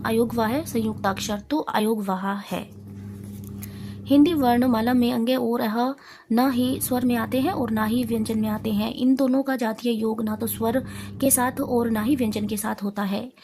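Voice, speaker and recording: A woman teacher reads out exam revision questions, answers and lists, reciting deliberately item by item. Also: a little high. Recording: clearly noisy amateur recording.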